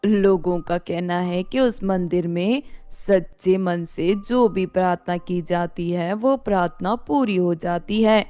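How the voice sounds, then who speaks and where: A woman's voice: on the low side, slow pace, few pauses, mellow, pitched below the performer's own voice. A woman voicing a deferential servant in a story, giving respectful, earnest advice. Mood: earnest advising.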